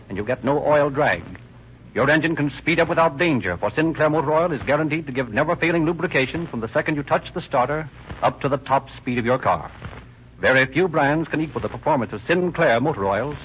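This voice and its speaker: A man's voice: mid-range voice, expressive, a little fast. A man announcing and narrating in a formal, declarative 1930s radio style. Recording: dull, noisy old radio recording.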